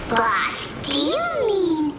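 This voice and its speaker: An actor voicing a small, excitable creature sidekick in a video game, cocky yet easily flustered. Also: sits high, drawn-out words, roomy sound, very noisy, exaggerated voice. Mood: bewildered.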